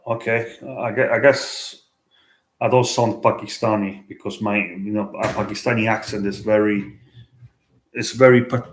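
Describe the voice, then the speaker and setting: A man livestreaming at night, chatting in a hesitant, halting way with many restarts and fillers. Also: lowish voice, roomy sound. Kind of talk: late-night street livestream chat. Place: out at night in a city.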